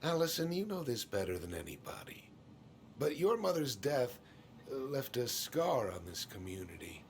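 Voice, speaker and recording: An actor playing an evasive, folksy middle-aged small-town store boss, speaking hesitantly in naturalistic game dialogue. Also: male voice, medium pitch, sing-song, normal speed, creaky, slight background noise. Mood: apologetic.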